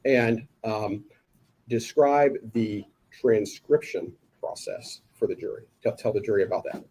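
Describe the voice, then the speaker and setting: A man working as an attorney, questioning a witness in a courtroom in a brisk, polite manner. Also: fairly low pitch, dark tone, husky, rough recording. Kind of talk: courtroom direct examination.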